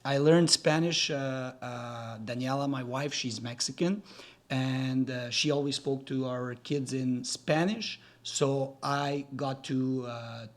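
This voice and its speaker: A man in his forties, a native Serbian speaker raised in Quebec, talking as a podcast guest in a friendly, halting way full of fillers and restarts. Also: mid-range voice, fairly even intonation. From Serbia, raised in Gatineau, Quebec. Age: 40s. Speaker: podcast guest, real estate developer. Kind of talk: casual interview talk.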